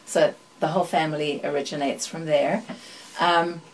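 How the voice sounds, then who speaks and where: An older woman from South Africa with a South African accent tinged by British and American sounds, reading a passage and then recalling her life in a measured, even way with thoughtful pauses. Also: low voice, slight background noise, rough recording. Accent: South African, mixed with British and American. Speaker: accent archive speaker.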